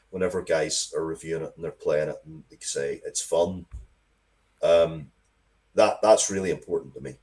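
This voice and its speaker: A middle-aged man with a fairly low voice talks casually in an interview. He rambles through his stories with enthusiasm and swears freely, like a creator chatting about his own work. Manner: sweary, rambling, animated storytelling. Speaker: interview guest, game and comics creator.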